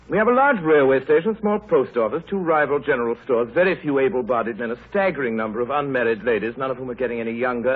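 Quick-talking, droll village doctor on old radio. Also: male voice, medium pitch, husky. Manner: dry, droll, literate. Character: wry, genial male village doctor narrating his tale. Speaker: actor. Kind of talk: 1930s radio drama narration. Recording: muffled, scratchy 1930s radio broadcast.

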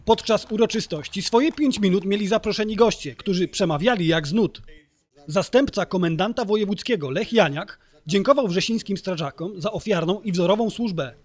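A man's voice: sits high, moderate pace. A man reading scripted narration for a local news report. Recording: voice-over with music behind. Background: music.

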